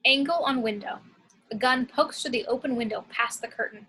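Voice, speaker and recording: A woman's voice: a little high, brisk, bright, forceful tone, husky. A woman reading screenplay action and scene headings as scripted narration.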